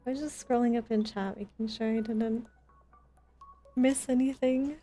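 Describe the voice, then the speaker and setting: A woman's voice: fairly high pitch, steady tone, moderate pace, mellow, faint music behind. A woman chatting casually with her viewers while livestreaming a video game, in a chatty, giggly manner.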